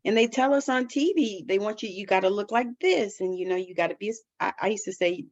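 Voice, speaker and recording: A woman's voice: mid-range voice, fast, a little mellow. A woman hosting an interview, affirming her guest often and asking reflective questions. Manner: affirming, frequent laughs and "mm-hmm". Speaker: podcast host. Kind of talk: interview conversation. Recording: slightly muffled lo-fi audio.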